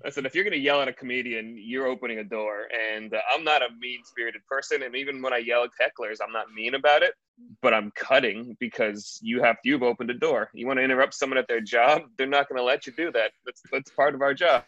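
Comedian guy, bright forceful voice, muffled dry sound, tells stories fast. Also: mid-range voice, no room sound. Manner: animated storyteller, quick asides. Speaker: stand-up comedian, guest. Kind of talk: casual interview chat.

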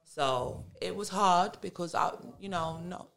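Candid London woman, lower voice. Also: measured pace, creaky. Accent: London English. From England. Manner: candid, laughing, animated storytelling. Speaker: podcast guest. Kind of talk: casual chat.

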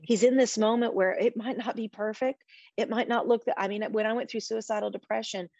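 A middle-aged woman speaks as a guest in a faith-based podcast interview, with a passionate, preacher-like delivery. Her voice sits a little high. The sound is dry and close, with no room around it. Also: fairly even intonation, brisk, vocal fry, a little mellow, dry recording, dull audio. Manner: passionate, preacher-like, quotes scripture.

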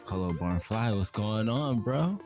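Male co-host, very deep voice, easygoing short replies, faint music behind. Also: expressive, a little slow, darkish tone. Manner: easygoing, brief replies, laughs along. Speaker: podcast co-host. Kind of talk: casual podcast chat.

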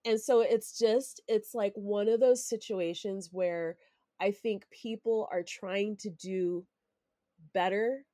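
A woman's voice: mid-range voice, slow, dark tone, no echo, clean studio sound. A woman from Denver, Colorado hosting a solo podcast, speaking candidly and reflectively with a stuffy, congested nose and many ums and restarts. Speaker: podcast host.

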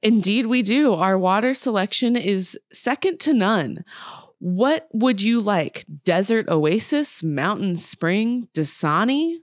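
A woman's voice: mid-range voice, animated, slow pace, no echo, clean studio sound. Woman playing a pompous, fussy fire dispatcher. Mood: prim, unruffled. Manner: pompous, fussy, overly formal. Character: prim, unhurried, pompous female artisanal fire department dispatcher. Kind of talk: comedy sketch table read.

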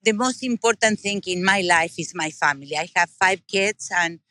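A woman's voice: on the low side, normal speed, dry recording. Enthusiastic Argentine-accented woman. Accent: Argentine Spanish. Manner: warm, enthusiastic, earnest. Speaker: interview guest, business owner. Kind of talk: casual interview talk.

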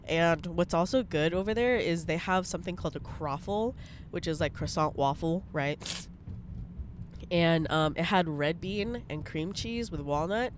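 A woman's voice: fairly low pitch, measured pace, slight background noise. A young woman with a congested voice, chatting bluntly in a casual vlog from her parked car, swearing freely. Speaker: vlogger.

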